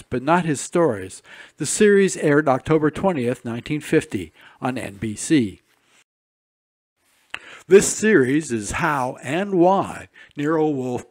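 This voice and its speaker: A man's voice: medium pitch, big pitch swings, a little slow, no echo, studio quality. A man reading a scripted introduction as a radio host. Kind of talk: scripted narration.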